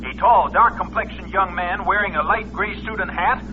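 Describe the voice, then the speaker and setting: An actor playing an urgent, formal radio newscaster reading a bulletin in a 1940s radio drama. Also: male voice, high voice, normal speed, music in the background, close to an everyday voice. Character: urgent, formal male radio news announcer. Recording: dull, faintly noisy vintage radio recording.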